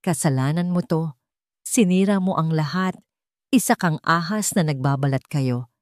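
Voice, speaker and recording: A woman's voice: sits low, moderate pace, dry recording, close to an everyday voice. A woman narrator plays an arrogant rich woman from an audio drama, venomous in defeat. She speaks in indignant accusations, recorded close and dry. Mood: enraged.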